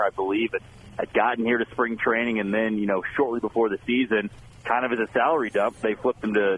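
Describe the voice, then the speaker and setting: A man's voice: lowish voice, fairly bright tone, highs cut off, slight background noise. A man reporting by remote line from spring training, talking baseball analysis in an easygoing, thoughtful way. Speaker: team beat reporter. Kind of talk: remote radio interview.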